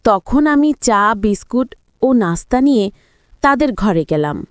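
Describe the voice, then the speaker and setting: A woman narrates a scripted story in the first person, in a measured, dramatic way. Her voice sits a little high, and the sound is dry and close, with hardly any room on it. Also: lively intonation, dry recording.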